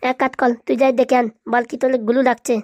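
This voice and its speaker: A woman's voice: sits high, level tone, fast, a little mellow, no echo. A woman voicing a bossy little cartoon boy who leads a gang and bullies others with a childish lisp. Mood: cocky. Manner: bossy, threatening, scolding. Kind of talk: comic cartoon dialogue.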